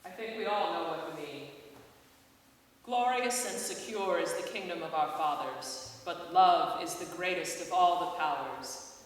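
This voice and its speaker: A man's voice: a little high, unhurried, close to an everyday voice. A man acting a wise, commanding sage in a fairy-tale play, with solemn, oratorical delivery. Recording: echoey hall from far off, faint noise.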